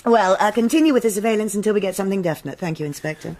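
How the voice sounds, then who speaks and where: A woman's voice: medium pitch, racing, darkish tone, close to an everyday voice. Actress as stern, clipped senior policewoman. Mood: brisk, businesslike. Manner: clipped, formal, authoritative. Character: stern, exacting senior policewoman, dryly impatient. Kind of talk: radio crime drama acting.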